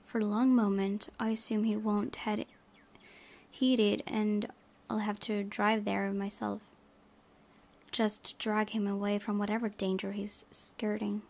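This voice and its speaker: A young woman reads visual novel narration aloud as a let's play host. Her voice is mid-pitched with noticeable vocal fry. She reads expressively, like telling a story. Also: level tone, unhurried, darkish tone.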